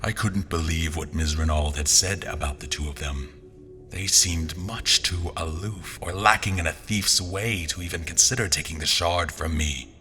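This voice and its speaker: Very deep-voiced brooding narrator. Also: male voice, normal speed, bright, forceful tone, soft background music. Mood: measured, wary. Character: brooding, suspicious male adventurer recounting his journey. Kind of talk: scripted first-person story narration.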